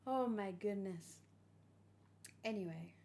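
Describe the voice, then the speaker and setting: A woman giving excited, rambling reaction commentary, emotional and full of gushing exclamations. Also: medium pitch, slow, vocal fry. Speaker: host of a manga read-along reaction.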